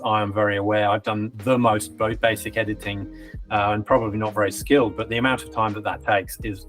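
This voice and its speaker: Low-voiced British man, hesitant and measured. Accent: British, softened by years in New Zealand. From Britain. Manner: hesitant, many ums and restarts, measured and reflective. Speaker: client giving a testimonial. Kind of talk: conversational testimonial interview.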